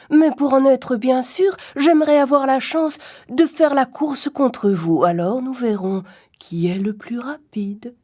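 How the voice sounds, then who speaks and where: Femme jouant une tortue posée à la voix voilée. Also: female voice, a little high, dark tone. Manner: courteous, confident. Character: calm, polite, self-assured female tortoise. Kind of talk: animated storybook dialogue for children.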